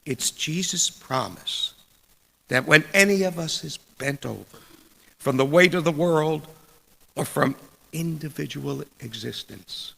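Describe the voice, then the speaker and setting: Male preacher, heavily creaky yet forceful and bright, delivering a written sermon in measured short phrases. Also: on the high side, animated. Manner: deliberate, measured, broken into short phrases with pauses. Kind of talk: scripted sermon read aloud. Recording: long church reverb.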